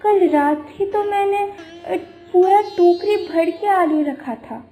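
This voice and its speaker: Woman acting a very high-pitched, whiny young sister-in-law. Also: slow pace, dark tone, soft background music, heavily acted. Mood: suspicious, scheming. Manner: complaining, sarcastic, melodramatic. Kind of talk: Hindi animated moral story acting.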